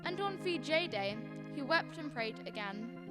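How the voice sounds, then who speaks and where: A woman's voice: high voice, animated, a little slow, over loud music. A young woman playing a patient, authoritative senior angel telling a story in a student radio drama. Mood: solemn storytelling. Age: young adult.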